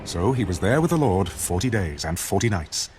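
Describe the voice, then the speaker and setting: A man giving a measured, solemn scripted narration of scripture as the narrator of an audio drama. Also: low-pitched, sing-song, music in the background.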